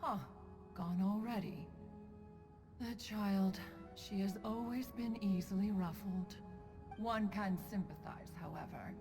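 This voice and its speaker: A woman's voice: mid-range voice, takes it slowly, big-room sound, music in the background. A woman playing a proud, doting immortal elder in a video game, with formal, eloquent and lofty speech. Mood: composed, caring. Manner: formal, lofty, calls herself "one". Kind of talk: video game cutscene voice acting.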